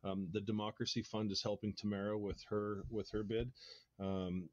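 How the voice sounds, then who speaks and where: Canadian guy in his home office, lowish voice, flat muffled webcam sound. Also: normal speed, no echo. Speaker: guest. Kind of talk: casual chat. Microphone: video call webcam. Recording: dry lo-fi webcam audio.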